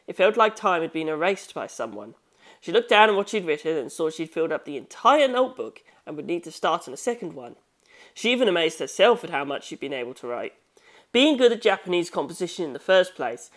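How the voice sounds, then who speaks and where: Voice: mid-range voice, fairly bright tone. A narrator reading a novel aloud as scripted audiobook narration.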